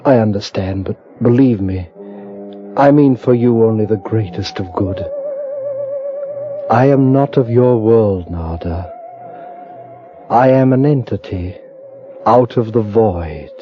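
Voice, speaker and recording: An actor playing a calm, commanding godlike alien man in an old radio drama, speaking in a solemn, formal, hypnotic way. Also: fairly low pitch, slow pace, long pauses, exaggerated voice. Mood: soothing, hypnotic. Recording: dull old radio recording, loud music underneath.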